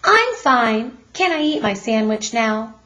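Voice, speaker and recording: A woman's voice: on the high side, sing-song, slow, sharp tone, heavily acted. A woman voicing an eager, cheerful young boy in a storybook.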